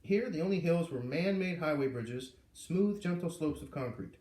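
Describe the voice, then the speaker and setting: A man reading a story aloud in an expressive, informal way, narrating like a storybook reader. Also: a little high, moderate pace. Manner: animated, informal asides. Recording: echoey room, far from the mic.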